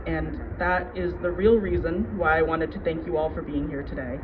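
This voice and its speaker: Male community speaker, high register, earnest emphatic delivery, dull outdoor event recording with plenty of noise. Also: steady tone, normal speed. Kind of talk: prepared heartfelt public speech. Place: outdoors at city hall.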